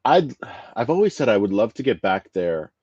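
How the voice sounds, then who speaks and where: A man's voice: fairly low pitch, sing-song, fairly quick, a little mellow, husky, no echo. A man hosting a podcast, chatting casually and joking, with many restarts and fillers. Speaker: podcast host. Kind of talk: casual interview chat. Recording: dry, dull lo-fi sound.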